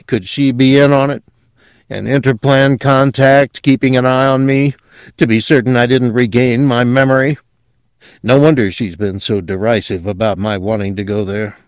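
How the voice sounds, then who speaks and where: Audiobook role, male reader: hostile, sardonic drugged man, grating drawl, raised pitch, dry sound. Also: fairly even intonation, moderate pace, clean tone, no room sound, close to an everyday voice. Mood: suspicious musing. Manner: drawling, bitter. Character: hostile, sardonic man under a truth drug.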